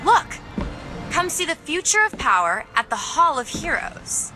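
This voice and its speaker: An actress playing a sheltered, curious young woman, a bright and eager companion in a video game, under loud music. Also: sits high, sing-song, unhurried. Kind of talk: video game character dialogue.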